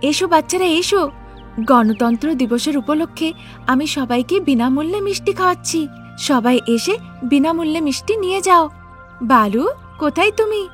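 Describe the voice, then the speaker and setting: Woman voicing a high-pitched stingy young sweet seller. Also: expressive, moderate pace. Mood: eager, inviting. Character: stingy, scolding young daughter-in-law who sells sweets. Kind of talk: cartoon story character acting. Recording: music behind the voice.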